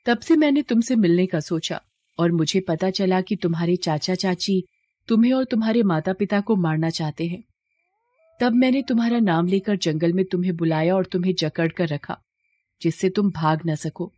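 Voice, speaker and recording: A woman's voice: fairly low pitch, moderate pace, mellow, no room sound, close to an everyday voice. A woman voicing a shape-shifting serpent in a moral story, solemn, earnest and grateful.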